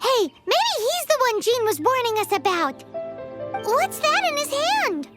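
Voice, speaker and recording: Cheeky fairy companion, very high childish voice, swooping intonation, excitable, video game. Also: female voice, unhurried, exaggerated voice. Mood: alarmed, feisty. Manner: speaks of self in third person, exclamatory. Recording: game music behind.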